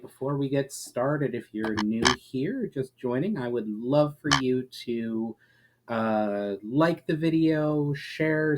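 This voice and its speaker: A man reading aloud as an author and host, with expressive, measured phrasing that falls away softly at the ends of sentences. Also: mid-range voice. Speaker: author and channel host reading his own book. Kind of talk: dramatic storybook reading of fantasy prose.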